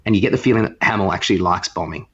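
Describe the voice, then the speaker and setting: Man with a low voice, rambling casually. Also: rapid-fire, breathy edge, dull audio. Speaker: podcast co-host. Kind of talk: casual chat.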